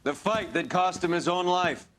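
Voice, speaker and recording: A man's voice: high voice, moderate pace, a bit bright, slight background noise. A man playing a solemn eulogist in a game drama, speaking in a formal, grandiose, oratorical way. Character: solemn, smooth-talking man delivering a funeral eulogy.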